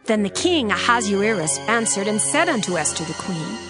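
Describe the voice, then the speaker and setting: A woman narrates a dramatized scripture recording. Her voice is medium in pitch and her delivery is formal storytelling, with music clearly playing behind her. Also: sing-song, moderate pace.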